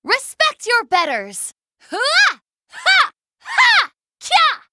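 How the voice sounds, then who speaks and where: A proud, imperious young noblewoman mage for a video game. Her voice is high and sharp, swooping theatrically through formal, grand phrases. She takes her time with each short line. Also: wildly swooping pitch, extremely slow, a little hiss or hum, heavily acted. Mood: haughty, proud. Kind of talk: short battle voice clips.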